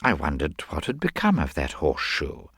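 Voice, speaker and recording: A low-voiced, mild-mannered male investigator acted out by a male reader in a detective audio drama. He is polite and shrewd, murmuring and hesitating, yet his pitch moves expressively. The sound is dry and close. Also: huge pitch swings, normal speed, fairly bright tone, slightly rough, no room sound, full character voice. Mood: gently probing.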